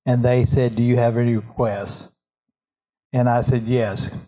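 Staff man, lilt, slow and deadpan with his joke delivery. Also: medium pitch, poor audio. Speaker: staff member. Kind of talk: telling corny jokes.

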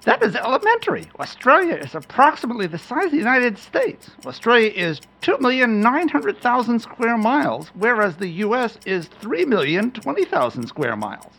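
A woman's voice: fairly high pitch, big pitch swings, normal speed, few pauses, fairly bright tone, slightly rough, exaggerated voice. A woman playing a pompous, formal know-it-all boy genius in an old-fashioned radio play reading. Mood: pompous, smug.

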